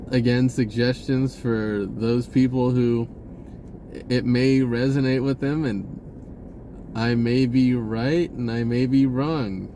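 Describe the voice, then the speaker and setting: A man talking casually in a rambling spiritual monologue, halting and often interrupting himself, in an informal way. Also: mid-range voice, dark tone, a little hiss or hum, low-quality recording. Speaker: spirituality vlogger.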